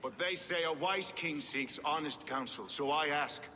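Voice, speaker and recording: A proud Norse warrior king in a game cinematic. His voice is bright and commanding, delivering measured, grand oratory. It rings through a long reverberant hall with faint noise behind it. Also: on the high side. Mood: grandiose, rousing. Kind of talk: video game cinematic acting, formal oratory.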